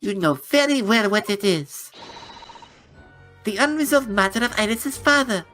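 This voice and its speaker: A woman's voice: mid-range voice, animated, unhurried, fairly bright tone, soft background music. A woman voicing a prim young Japanese woman in a fan dub of a game, speaking in an indignant, accusing way.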